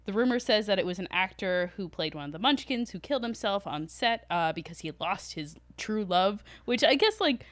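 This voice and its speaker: A young woman tells stories as a podcast co-host in an informal, chatty style. Her pitch is average for a woman and she swears freely. The recording is very dry. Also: expressive, brisk, few pauses, no echo. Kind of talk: casual storytelling chat.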